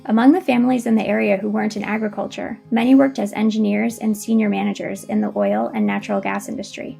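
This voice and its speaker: Creaky-voiced woman narrator. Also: mid-range voice, lively intonation, fast talker, warm tone, soft background music. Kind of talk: scripted narration.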